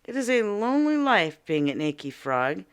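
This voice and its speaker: A woman voicing a timid, lonely male frog with a scratchy voice in a read-aloud fairy tale, speaking slowly and politely. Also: mid-range voice, big pitch swings, clean tone, close to an everyday voice.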